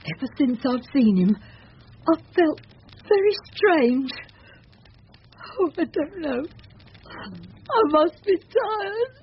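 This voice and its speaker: A woman's voice: high voice, very animated, slow pace, long pauses, heavily acted. A woman playing a flustered, remorseful working-class Victorian wife and mother, tearful and fretful, in an old-time radio drama. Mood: regretful confiding. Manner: tearful, fretful, sighing exclamations. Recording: muffled, hissy old radio drama recording.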